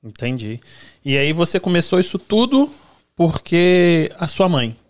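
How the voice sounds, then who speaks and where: A man's voice: a little high, sing-song, unhurried. A man, hosting a podcast and asking his guest loose, casual questions. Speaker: podcast host.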